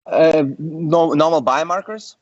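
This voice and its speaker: A man's voice: fairly high pitch, slow pace, dry recording. A male cardiologist on a panel, speaking in a confident, conversational way with joking asides. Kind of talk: panel discussion. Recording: muffled dry video call audio.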